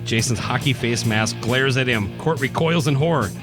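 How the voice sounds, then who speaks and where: A man's voice: medium pitch, moderate pace. A man reading scripted narration for a horror radio play. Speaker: narrator, podcast host. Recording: music playing behind the voice.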